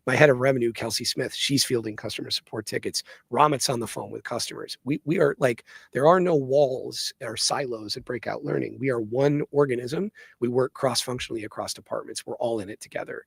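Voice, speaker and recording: A man's voice: medium pitch, fairly quick, creaky, hardly any pauses, husky, no room sound. A man giving conversational, emphatic interview answers as a company co-founder, often checking in with "right?". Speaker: company co-founder, podcast guest.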